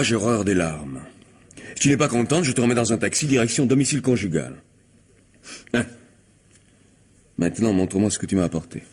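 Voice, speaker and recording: A cruel, money-minded lover in a radio mystery drama. His deep voice swings widely as he gives contemptuous, impatient orders to the one he controls. The tone is husky and domineering. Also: a little mellow, full character voice. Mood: scornful. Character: cruel, domineering, money-grubbing lover bullying a submissive woman.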